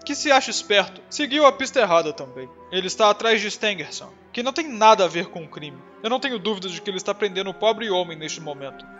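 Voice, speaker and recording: Pompous, excitable detective for an audiobook, played by a man; high voice, self-satisfied delivery. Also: fast, hardly any pauses, lo-fi sound, soft background music. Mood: boastful. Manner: pompous, emphatic.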